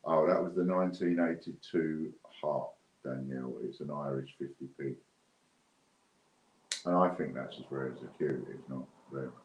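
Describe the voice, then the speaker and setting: A man's voice: very deep voice, moderate pace, dull audio. A middle-aged British man hosting an online giveaway stream, chatting casually to viewers in a rambling, unscripted way. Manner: rambling, unscripted, thinking aloud. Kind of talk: casual livestream chat to viewers. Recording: roomy echo with faint background noise.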